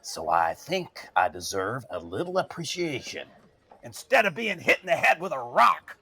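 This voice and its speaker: A sardonic, put-upon guide of dead souls who likes his whiskey. His voice is rough and husky but sits fairly high for a man, with a forceful edge. It is audio drama, exasperated and menacing. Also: very animated, normal speed, heavily acted. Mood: sarcastic, aggrieved. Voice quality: husky, gravelly. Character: gruff, whiskey-loving male soul escort, sarcastic and put-upon. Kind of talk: dramatic audio fiction acting.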